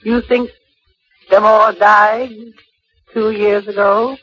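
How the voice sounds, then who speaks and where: A woman's voice: mid-range voice, little pitch movement, slow, fairly bright tone, breathy edge. An actress playing a grave, worried mother in old-time radio drama style. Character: grave, worried mother breaking news to her daughter. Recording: muffled old radio recording.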